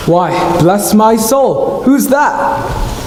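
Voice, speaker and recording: Man acting welcoming young gentleman, high lively voice, astonished, stagey radio play, big echoey hall. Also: drawn-out words, background noise, full character voice. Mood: surprised, welcoming.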